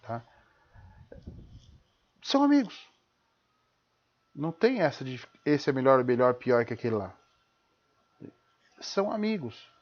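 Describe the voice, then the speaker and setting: Brazilian guy doing an informal live talk, mid pitch, preachy teacher style, asks himself questions, muffled lo-fi sound. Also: sing-song, unhurried, pauses often. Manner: emphatic, didactic, punctuated with rhetorical questions and check-in tags. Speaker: host of a live-streamed talk. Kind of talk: informal spoken lecture. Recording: dull, lo-fi live stream audio.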